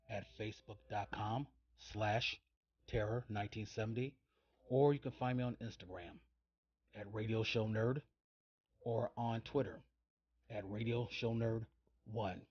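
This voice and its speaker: Husky low-voiced man hosting, dull sound. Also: steady tone, moderate pace, pauses often. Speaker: podcast host. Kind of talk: casual sign-off. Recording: dull, lo-fi, quiet recording.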